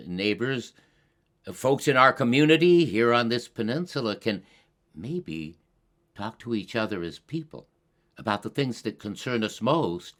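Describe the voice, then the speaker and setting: Slow, low-voiced older man hosting. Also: a bit bright. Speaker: host of a community climate show. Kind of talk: scripted spoken introduction.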